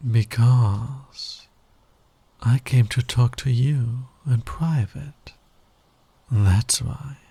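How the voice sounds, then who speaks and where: Male actor as cocky fantasy villain, slow and gravelly, smug, teasing, flirtatious, intimate ASMR roleplay. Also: mid-range voice, warm tone, full character voice. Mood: smug, confiding. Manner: smug, playful, drawling, teasing.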